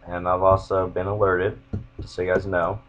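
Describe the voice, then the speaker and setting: A young man with a monotone voice, casually rambling as he walks viewers through his game builds. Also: low-pitched, moderate pace, highs cut off, a little hiss or hum. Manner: rambling, self-interrupting. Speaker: gamer showing his build.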